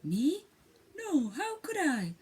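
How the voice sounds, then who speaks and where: Woman acting affronted farm animal, loud and lively. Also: sits high, slow pace, pitched above the performer's own voice, full character voice. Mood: affronted, emphatic. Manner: exaggerated, emphatic, affronted. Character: farm animals loudly protesting their innocence.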